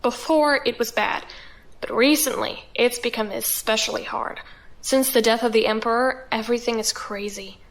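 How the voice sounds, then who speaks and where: A woman's voice: a little high, fairly even intonation, moderate pace, lightly acted, low-quality recording. A woman playing a frank, cynical mother in an audio drama, blunt and matter-of-fact.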